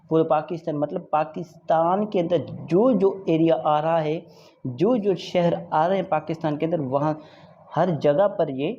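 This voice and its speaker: A man from Pakistan giving an exam prep law lecture. He repeats key points, mixes in English legal phrases and keeps asking if it is clear. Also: fairly high pitch, warm tone, muffled recording. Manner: repeats points and checks understanding with "is it clear, dear?".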